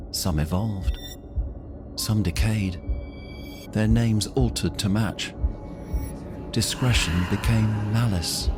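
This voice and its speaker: Male narrator, low and unhurried, solemn fantasy prologue read over a music bed. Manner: slow, solemn mythic storytelling.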